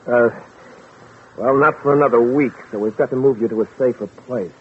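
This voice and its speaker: Man acting smooth scheming friend, tinny old radio. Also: mid-range voice, normal speed, natural voice. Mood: brisk, persuasive. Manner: persuasive, reassuring, takes charge. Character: smooth, scheming male friend posing as a loyal helper. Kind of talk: 1940s radio drama acting. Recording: noisy, tinny vintage radio recording.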